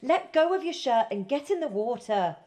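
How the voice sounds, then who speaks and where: A wartime nurse in a radio drama. She is a woman with a fairly high voice and a brisk, proper delivery. She sounds firm and bossy, with care underneath. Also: sing-song, mellow. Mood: stern. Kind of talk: 1940s-set radio play acting.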